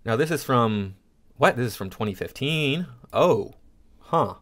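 Middle-aged American male host, medium pitch, halting and exasperated off-the-cuff opinion commentary. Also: expressive, fairly bright tone. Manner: indignant, halting, repeats himself. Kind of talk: casual opinion commentary over clips.

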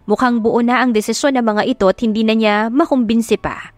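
A woman's voice: on the high side, steady tone, fairly quick, no echo. A woman with a Filipino accent narrating a dramatic audiobook. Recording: music behind the voice. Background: music.

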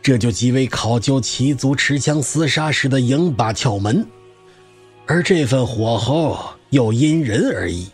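An actor playing a hearty old wandering spear master in an audio drama, garrulous, folksy and emphatic. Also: male voice, mid-range voice, animated, unhurried, breathy edge, soft background music. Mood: opinionated, proud.

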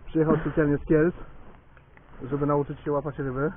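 A man's voice: fairly high pitch, flat delivery, fairly quick, phone-quality sound, slight background noise. A man bantering outdoors by a river while fishing, joking and swearing casually. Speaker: local angler, co-host guest.

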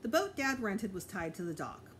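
A middle-aged woman reads a children's book aloud in a medium-pitched voice. Her delivery is very animated, with the odd small slip that she corrects as she goes. It is a home recording with noticeable background noise. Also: moderate pace. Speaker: teacher reading aloud to pupils. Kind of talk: expressive children's storybook read-aloud.

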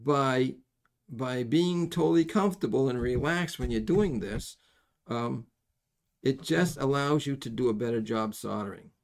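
A middle-aged man giving a casual hands-on soldering tutorial, folksy and halting as he thinks aloud. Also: mid-range voice, creaky. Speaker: electronics soldering instructor. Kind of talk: hands-on tutorial, explaining casually while working. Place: indoors at a workbench.

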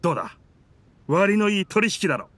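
A man's voice: high voice, very animated, fairly quick, noisy background. A man voicing a proud talking pirate ship in a video game, with boastful, theatrical, old-fashioned speech. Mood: confident, persuasive. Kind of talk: Japanese video game character dialogue.

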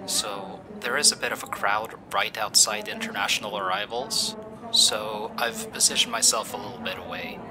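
A young man voicing an affectionate, teasing boyfriend in an audio roleplay, speaking softly and hushed. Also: fairly low pitch, normal speed, over music, natural voice. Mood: soft, nervous. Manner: soft, hushed, teasing with light laughs. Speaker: voice actor. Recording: steady ambient background noise. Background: busy airport ambience.

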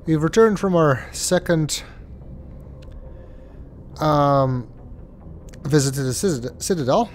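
A man's voice: on the high side, animated, slow, pauses often, slight background noise. A congested man with a stuffy nose giving casual, hesitant commentary as he plays through a video game. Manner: hesitant, with many ums and uhs. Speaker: let's play host.

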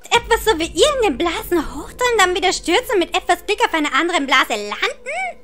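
A woman's voice: very high-pitched, very animated, brisk, a bit bright, exaggerated voice. A woman playing a haughty, argumentative maiden awaiting rescue in a fantasy audio drama, talking formally. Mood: scolding. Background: music.

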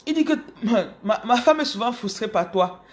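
A woman's voice: a little high, normal speed. Cameroonian woman telling her story. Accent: Cameroonian French. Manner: animated, repeats words, addresses viewers directly. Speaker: business coach. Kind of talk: casual motivational storytelling. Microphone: phone camera held close.

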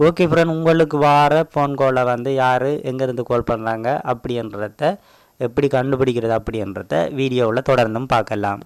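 A man's voice: medium pitch, fairly even intonation, moderate pace. A man hosting a tech channel, explaining a phone tutorial step by step in a casual voice-over with a Tamil accent and a repeated filler word.

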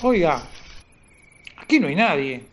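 A man's voice: fairly high pitch, sing-song, measured pace, a little hiss or hum. An actor playing a nervous, distrustful, self-important wealthy man in a radio drama, hesitant and defensive. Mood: guarded, proud.

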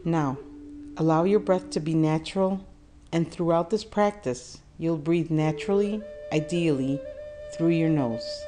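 Low-voiced woman guiding a meditation. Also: mellow, faint music behind. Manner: soothing, measured, with deliberate pauses. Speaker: meditation guide. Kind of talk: guided meditation, calm instruction.

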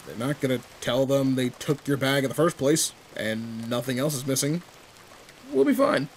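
A man's voice: medium pitch, normal speed, a little hiss or hum, close to an everyday voice. A man playing a tall, protective, secretly sweet teenage delinquent in an intimate roleplay audio drama, talking in casual, teasing slang. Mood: reassuring. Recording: steady rain ambience behind voice. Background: rain in a forest.

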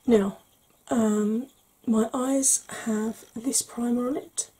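A woman in her forties talks through a makeup tutorial in a soft, hushed ASMR manner, slow and patient. Her voice is warm and mellow, and very breathy, slipping into whispers. She sounds close to a desk webcam in a small room at home. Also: on the high side, fairly even intonation, low-quality recording. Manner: slow, gentle, patient explaining. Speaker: makeup vlogger.

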